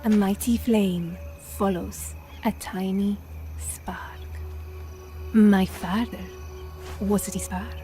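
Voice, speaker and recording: An actress playing a resolute Italian partisan with an Italian accent, speaking softly and earnestly in a game cutscene. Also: female voice, mid-range voice, fairly even intonation, takes it slowly, faint music behind, close to an everyday voice. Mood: sorrowful, hopeful. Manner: earnest, grave, restrained. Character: resolute, grieving female Italian resistance fighter. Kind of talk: dramatic video game cutscene acting.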